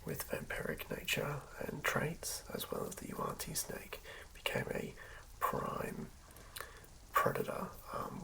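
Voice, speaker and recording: Creaky, hushed ASMR whisperer. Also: medium pitch, rough recording. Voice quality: breathy whisper with creaky fry. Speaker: ASMR creator. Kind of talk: whispered ASMR narration of a tabletop character build.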